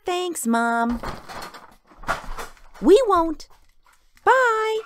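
Bossy little girl, high voice, swooping intonation, impatient, emphatic, radio play. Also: very animated, drawn-out words, a little hiss or hum, heavily acted. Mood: excited. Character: excited, bossy, loud little girl in a sci-fi tale. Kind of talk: radio drama acting.

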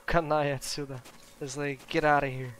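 A man's voice: a little high, level tone, moderate pace, fairly bright tone. A man commentating on his video game in English with a Russian accent, casual, with bits of Russian mixed in. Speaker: gaming streamer or let's-player. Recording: clear background noise. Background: video game sounds.

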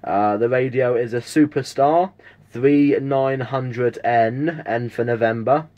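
A man's voice: mid-range voice, takes it slowly, lo-fi sound. A man with a southern English accent making casual CB radio contact, friendly and repeating details as an operator. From United Kingdom. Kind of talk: casual radio contact with call signs and spelling. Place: indoors, at a home radio setup.

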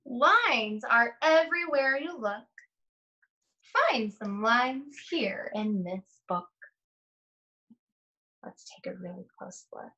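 Young lady teacher doing a read aloud for kids, really bright voice, slow pace, bit muffled home recording. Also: a little high, animated. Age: young adult. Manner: addresses young children directly with simple questions and prompts. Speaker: elementary art teacher. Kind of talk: children's read-aloud lesson.

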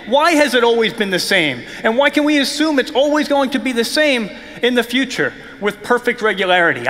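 A man's voice: high-pitched, pushed and bright. A young man on an arena stage, gesturing energetically and arguing with rhetorical questions. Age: young adult. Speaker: speaker. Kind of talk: apologetics talk to students. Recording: long hall reverb.

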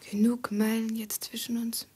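An actress playing a forsaken, devoted lover, whispering tenderly in a 1950s radio play. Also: female voice, on the high side, level tone, dark tone. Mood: tender sorrow. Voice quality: breathy whisper. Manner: slow, tender, poetic. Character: forsaken, devoted young lover grieving softly.

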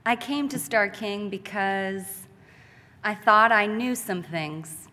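Slow, heartfelt woman in a reverberant hall. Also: medium pitch, bright, forceful tone. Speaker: graduating student speaker. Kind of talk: commencement speech. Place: large church sanctuary at a podium. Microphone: podium mic, far camera.

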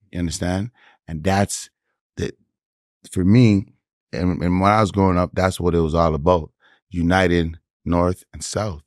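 A man with a Toronto accent, a podcast guest telling his story in a laid-back, animated way with slang asides. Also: low-pitched, no echo, studio quality. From Canada. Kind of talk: casual interview chat.

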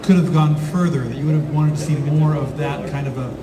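A man's voice: fairly high pitch, steady tone, a little fast, crowd noise. Male moderator in an echoey hall. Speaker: panel moderator. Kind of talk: relaying and steering questions. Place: indoor convention stage, far camera. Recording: echoey hall, distant, background noise.

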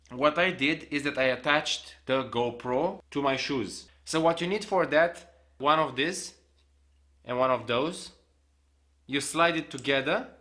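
Bright-voiced young man explaining gear. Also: medium pitch. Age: young adult. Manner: explains hands-on, demonstrating gear while talking. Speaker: vlogger. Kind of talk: casual gear tutorial. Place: small home room with desk. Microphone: camera on a desk, facing him.

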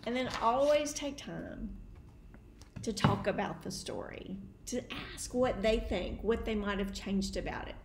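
A woman's voice: mid-range voice, measured pace. A middle-aged woman, a teacher giving read-aloud tips to camera. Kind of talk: instructional talk to camera. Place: home kitchen and dining room. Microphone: camera at table distance. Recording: roomy echo, faint background noise.

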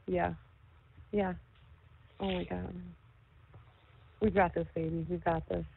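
A woman's voice: on the low side, flat delivery, slow pace, creaky. A woman in an intimate, casual phone call, speaking reassuringly and repeating herself, on a noisy, tinny line. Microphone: telephone.

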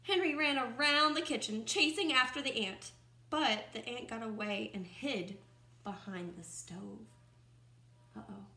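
Young teacher lady reading a kids book out loud, expressive w little asides and questions, bright voice, echoey room. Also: fairly high pitch. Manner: expressive, questioning, pausing to comment. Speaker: first-grade teacher reading aloud. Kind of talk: picture-book read-aloud with asides to children. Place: small room at home, indoors by a window. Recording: roomy, echoing home recording.

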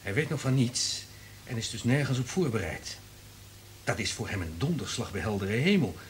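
An actor playing a wary, calculating subordinate in a radio play, deferential but insistent. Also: male voice, mid-range voice, expressive, normal speed, a little hiss or hum, close to an everyday voice.

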